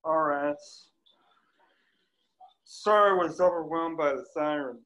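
Exasperated bar owner, high and slow, whiny and shouting, read by a man on a video call. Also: rough recording. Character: easily annoyed male bar owner Steve, frantic. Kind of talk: amateur table read over video call. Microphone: laptop webcam mic on video call.

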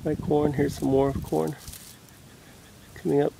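Man, soft musing commentary, handheld camera, outdoor hive buzz behind. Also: fairly high pitch, level tone, slow pace, creaky, slight background noise, low-quality recording. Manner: soft-spoken, musing aloud to himself. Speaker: beekeeper vlogger. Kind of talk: casual running commentary while filming. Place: outdoors at a bee farm. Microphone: handheld camera mic. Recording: outdoor camera audio with insects buzzing. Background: bees buzzing and rustling grass.

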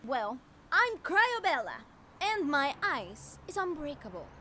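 A woman's voice: high-pitched, very animated, slow, sharp tone, a little hiss or hum, heavily acted. A woman voicing a feisty young superhero kid in a comic dub, cheeky and exclamatory. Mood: snappy.

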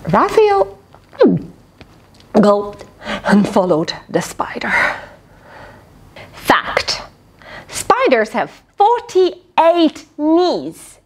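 Very animated woman storyteller, slow and high. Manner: expressive, questioning, teacherly. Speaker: children's storyteller, host. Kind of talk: animated picture-book read-aloud with asides to children. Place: small indoor fabric tent with cushions.

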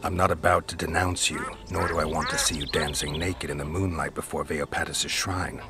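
A stoic witcher in a fantasy game. His voice is very low and his lines are blunt, terse and deadpan. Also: a little fast. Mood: dry, probing. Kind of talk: dramatic video game acting.

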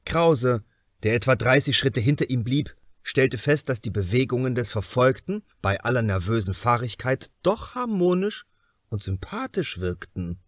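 A man narrates a crime novel with expressive, measured phrasing. His mid-pitched voice rises and falls widely, and he places his pauses deliberately. The sound is dry, with no room echo. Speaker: audiobook narrator.

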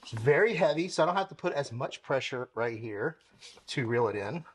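A man's voice: mid-range voice, lively intonation, fairly quick, a little hiss or hum. A young man hosting a car-care channel, casually explaining as he works with his hands in a home garage, talking to a camera mic while he moves around. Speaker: car detailing channel host. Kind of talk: casual product demo. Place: home garage with tiled floor.

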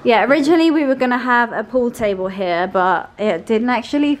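A woman's voice: a little high, fairly quick. A woman co-hosting a casual house tour, chatting in an upbeat way and laughing often. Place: house, indoors. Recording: audible room noise.

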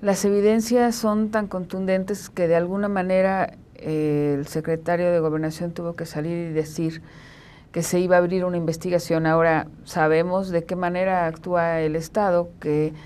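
A middle-aged woman with a Mexican accent giving halting, measured answers as a guest in a studio interview. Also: fairly low pitch, little pitch movement, darkish tone, clear, resonant tone.

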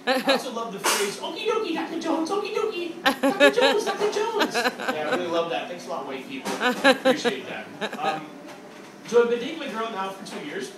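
A man's voice: very high-pitched, big pitch swings, brisk. A man from upstate New York doing a loose, crude open-mic stand-up set on a small theater stage, talking into a handheld mic. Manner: loose, rambling, crude, full of "like" and "okay?". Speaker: stand-up comedian. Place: small dark theater stage with a live audience. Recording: echoey room with crowd laughter.

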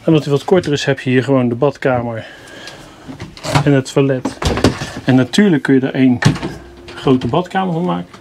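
A man's voice: medium pitch, moderate pace, gravelly, long pauses. A man casually walking through a motorhome, chatty and enthusiastic with mild grumbling. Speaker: vlogger reviewing campers. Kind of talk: casual walkthrough vlog. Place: inside a motorhome at a product show. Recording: noisy camera audio.

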